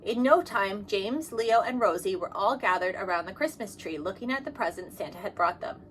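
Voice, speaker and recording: A woman reading a children's storybook aloud as narrator, in an expressive manner. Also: medium pitch, moderate pace, a little hiss or hum, low-quality recording. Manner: expressive, acts out dialogue. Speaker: storybook reader.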